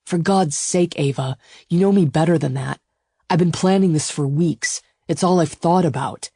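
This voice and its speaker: A woman voicing a fierce, possessive male lover in a romance audiobook, with a low growl and an emphatic, insistent delivery. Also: moderate pace, dark tone, husky, no echo, clean studio sound. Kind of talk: audiobook romance character dialogue.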